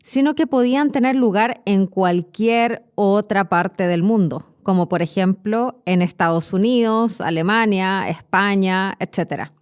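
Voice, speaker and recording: Chilean woman, mid-pitched expressive storyteller. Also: a little slow, hardly any pauses, clean tone. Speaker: podcast host and Spanish teacher. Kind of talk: scripted educational storytelling.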